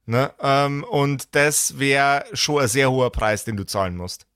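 A man's voice: mid-range voice, unhurried, a bit bright, clear, resonant tone, no echo, studio quality. A man talking casually as a game master, explaining rules in colloquial language with blunt hypothetical examples. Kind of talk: casual tabletop character-creation discussion.

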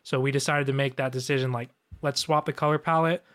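A man's voice: mid-range voice, steady tone, fast talker, fairly bright tone, smooth. A man who works as a designer, answering questions on a live show in a laid-back way with lots of fillers. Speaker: guest designer. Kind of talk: casual live Q&A.

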